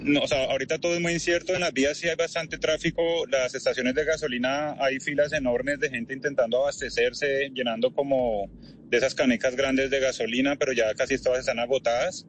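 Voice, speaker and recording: A Colombian man in his thirties talking by phone from a moving car, measured and thoughtful, with lots of fillers. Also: medium pitch, flat delivery, a little hiss or hum. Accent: Colombian Spanish, lightly worn. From Colombia (Barranquilla). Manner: thoughtful, many fillers like "pues" and "o sea". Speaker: interviewee, translator in Ukraine. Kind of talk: phone interview while driving.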